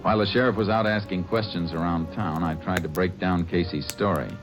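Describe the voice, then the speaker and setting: An actor playing a calm, deep-voiced Texas Ranger. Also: male voice, over music, close to an everyday voice, poor audio. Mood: steady. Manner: steady, measured questioning. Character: calm, firm male Texas Ranger lawman. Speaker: narrator and lead character. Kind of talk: 1940s radio drama acting.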